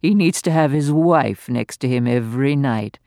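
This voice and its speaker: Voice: mid-range voice, normal speed, warm tone, dry recording, clean studio sound, lightly acted. A reader voicing a spiteful, venomous old aristocratic woman who sneers and gloats theatrically. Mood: gloating.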